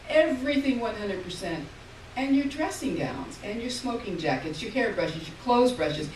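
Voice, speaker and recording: A woman reading a literary short story aloud at a live event, expressive, with emphasis on key words and pauses between short phrases. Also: mid-range voice. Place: live stage reading in a room. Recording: roomy, distant mic, faint noise.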